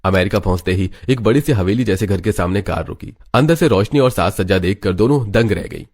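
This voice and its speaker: Low-pitched male story narrator over music. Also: brisk, darkish tone. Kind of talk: scripted dramatic story narration. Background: background music.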